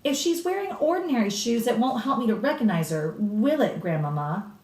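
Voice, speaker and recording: A woman's voice: a little high, very animated, brisk, darkish tone. A woman reading a storybook as a curious, incredulous young grandchild full of questions, in a roomy, distant recording. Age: child. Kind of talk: dramatic children's storybook reading. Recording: roomy echo, distant mic.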